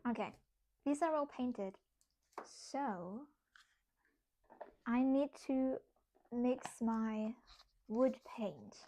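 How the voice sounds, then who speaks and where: Brief: young woman, German accent, slightly high voice, loose chatty livestream talk, reads chat while she works, giggles a lot. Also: lively intonation, very slow, pauses often, warm tone, slight background noise, low-quality recording. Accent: German, with some British English. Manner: chatty, laughs often, talks to herself while working. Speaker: streamer. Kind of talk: casual craft livestream chat, reading chat aloud.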